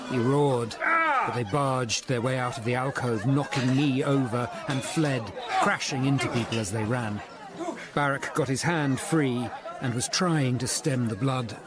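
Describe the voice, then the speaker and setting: An actor playing an earnest, educated Tudor lawyer-detective in a radio drama, speaking in a measured, formal way. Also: male voice, mid-range voice, big pitch swings, over a noisy crowd. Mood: grave, tense narration. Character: sober, educated Tudor lawyer-detective narrating his investigation. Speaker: narrator and lead character. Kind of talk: radio drama narration and dialogue.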